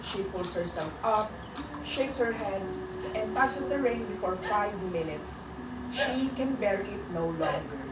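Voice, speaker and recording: A woman narrating a radio drama in a deliberate, solemn storytelling manner, reading a dramatic script. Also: medium pitch, lively intonation, close to an everyday voice. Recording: echoey, distant, very noisy.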